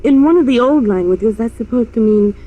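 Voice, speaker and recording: A woman's voice: fairly high pitch, animated, brisk, warm tone, poor audio. An actress playing a wistful, affectionate young woman musician in earnest 1950s radio style. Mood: bright, hopeful.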